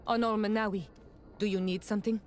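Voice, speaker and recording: Woman as young native clan princess in a game, solemn n proud, careful formal talk, soft music behind. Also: medium pitch, fairly even intonation, fast, close to an everyday voice. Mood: calm, proud. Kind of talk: video game cutscene dialogue.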